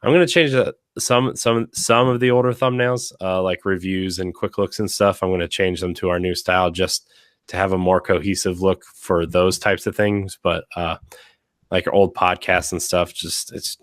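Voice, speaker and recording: A man with a very low voice hosts a casual podcast, a little raspy from a week of sickness. He rambles along with lots of fillers and restarts. The sound is close and dry. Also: steady tone, normal speed, no echo. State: slightly raspy from being sick. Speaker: podcast host. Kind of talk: casual chat.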